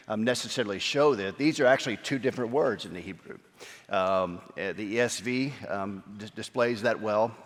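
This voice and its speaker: A man giving a conversational Sunday sermon as pastor, with many fillers and restarts. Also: lowish voice. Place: church sanctuary. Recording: long hall reverb.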